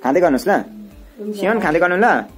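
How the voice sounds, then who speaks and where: A man's voice: high voice, expressive, fast, faint music behind. A young man narrating a casual village vlog while filming on a handheld phone, chatting with family as he goes. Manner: chatty, mixes asides to family with narration to camera. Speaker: village vlogger. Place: rural hillside house and village, indoors and out.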